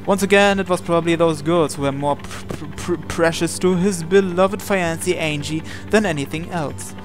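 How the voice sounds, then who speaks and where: A man's voice: on the high side, moderate pace, over music. A man reading visual novel narration aloud, sometimes stumbling over and repeating words as he goes. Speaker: video game player reading aloud.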